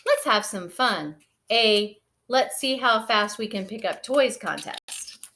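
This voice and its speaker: A woman's voice: mid-range voice, expressive, takes it slowly, pushed and bright. A woman voicing a firm, fatherly bear who grumbles but plays along, in a children's picture-book read-aloud. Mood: playful. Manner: firm, fatherly, groaning when put upon.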